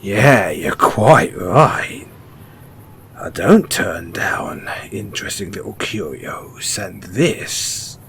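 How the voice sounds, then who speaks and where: A man playing a shrewd, mysterious post-apocalyptic merchant in an audio roleplay, speaking in an insinuating, theatrical way with drawn-out pauses. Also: sits low, a bit bright, slight background noise, heavily acted. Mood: intrigued, probing. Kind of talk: audio roleplay character acting addressed to the listener.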